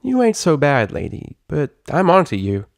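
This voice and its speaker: A man's voice: on the high side, huge pitch swings, moderate pace, gravelly, no room sound, clean studio sound, voice pushed up, heavily acted. A man voicing a brash, boastful tomboy pony girl with a slangy, swaggering delivery in a story reading. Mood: challenging. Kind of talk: character voice in a fan fiction reading.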